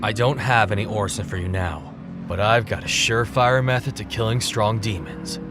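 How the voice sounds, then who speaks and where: Gruff guarded demon hunter guy, acted by a man, low and deadpan, music under him, audio drama. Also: moderate pace, natural voice. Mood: calm, negotiating. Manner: curt, deadpan.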